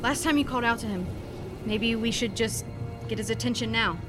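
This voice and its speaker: A woman's voice: a little high, fairly quick, music in the background, close to an everyday voice. A woman playing a matter-of-fact, level-headed scientist in a sci-fi audio drama. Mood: cautious.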